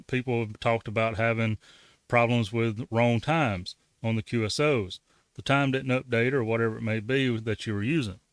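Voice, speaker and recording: A man's voice: mid-range voice, smooth, no room sound. A man giving a casual, folksy how-to walkthrough as the host of a hobby tutorial. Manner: folksy, colloquial. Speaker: ham radio tutorial host.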